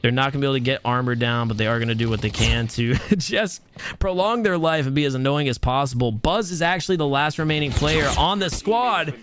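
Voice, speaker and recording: A young man calls a mobile shooter tournament live for his stream audience. His voice sits at a middle pitch, relaxed and soothing, and he talks casually, addressing chat and restarting his phrases as the match plays out. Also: fairly quick, slight background noise. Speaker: esports tournament caster. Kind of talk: live stream esports commentary.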